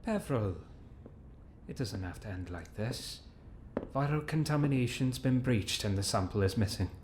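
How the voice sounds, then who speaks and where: An actor playing a stern agent in an audio drama, speaking in a grave, insistent way. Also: male voice, mid-range voice, expressive, moderate pace, a little mellow, slight background noise, lightly acted. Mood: grave, urgent. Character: stern male agent confronting a villain.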